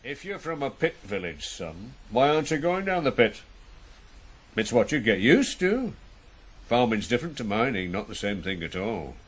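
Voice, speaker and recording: An actor playing a stern, brusque middle-aged farm boss, authoritative and clipped in a radio drama. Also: male voice, mid-range voice, very animated, moderate pace. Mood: questioning.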